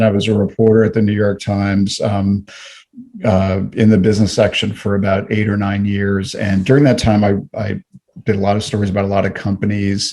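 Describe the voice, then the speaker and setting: A man's voice: fairly low pitch, darkish tone. A middle-aged man from Canada, a guest in an interview, talking reflectively with frequent fillers and restarts. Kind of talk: conversational interview.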